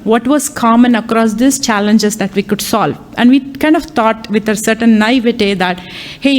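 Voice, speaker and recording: A woman's voice: medium pitch, a little mellow. A woman whose first language is Tamil, an AI lecturer and workshop chair, speaking live at a conference with many ums, uhs and restarts. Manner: hesitant, many fillers and restarts. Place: conference hall.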